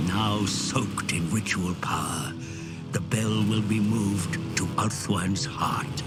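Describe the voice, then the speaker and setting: An actor playing a grave, portentous narrator of an epic fantasy game chronicle, speaking in measured, theatrical phrases. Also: male voice, on the low side, heavily acted. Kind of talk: solemn dramatic scripted narration of fantasy game cutscenes. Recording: loud orchestral music behind. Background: loud cinematic game music.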